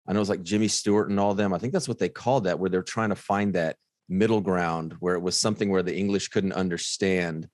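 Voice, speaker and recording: Man, deep low voice, casual co-host, quick musing questions, dry sound. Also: fairly even intonation, darkish tone, dry recording. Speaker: podcast co-host. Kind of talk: casual podcast chat.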